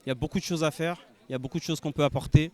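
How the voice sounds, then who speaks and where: A man's voice: fairly high pitch, steady tone, very fast, a little mellow. A man born in Senegal and raised in France, speaking hesitantly with many fillers in an outdoor testimonial. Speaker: nurse and investor. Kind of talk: promotional testimonial.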